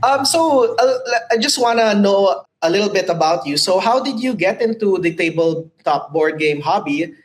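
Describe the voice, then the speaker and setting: A man moderating a panel, asking relaxed, rambling questions with plenty of fillers. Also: sits high, normal speed, hardly any pauses, poor audio.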